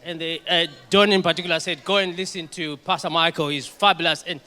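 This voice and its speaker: A man's voice: a little high, fairly even intonation, fairly quick, bright, forceful tone, in a large hall. A man telling how he came to church in an emphatic storytelling manner.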